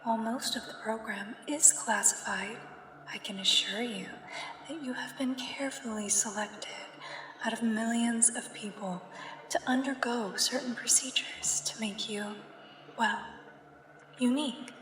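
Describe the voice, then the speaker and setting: A woman playing a soothing but uncanny government examiner in a sci-fi ASMR roleplay, speaking in a hushed, measured and formal way. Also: fairly high pitch. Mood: formal, persuasive. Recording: echoey long reverb, faint background noise. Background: sci-fi ambience.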